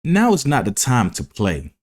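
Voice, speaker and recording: A man's voice: mid-range voice, huge pitch swings, unhurried. A man voicing a hardworking, sensible ant in a children's storybook, earnest and admonishing. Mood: earnest warning. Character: hardworking, sensible, stern male ant who lectures. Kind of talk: children's storybook read-aloud.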